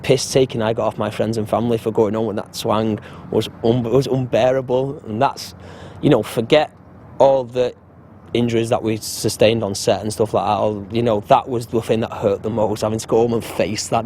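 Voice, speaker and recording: A man's voice: mid-range voice, steady tone, normal speed, darkish tone, slight background noise. A man in his twenties with a Mancunian accent, an actor telling joking, rambling anecdotes in a casual interview. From Salford, Manchester. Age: 20s.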